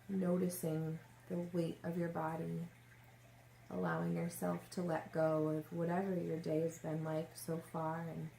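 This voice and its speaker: A woman leading a guided meditation as a yoga nidra teacher, giving gentle, unhurried instructions with long pauses. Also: lowish voice, vocal fry. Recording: roomy echo, faint background noise.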